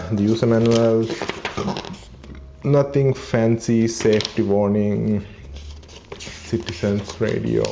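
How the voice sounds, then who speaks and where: A man giving a casual, low-key and somewhat hesitant unboxing and installation walkthrough. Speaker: vlogger demonstrating a product. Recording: clearly noisy, vehicle ambience.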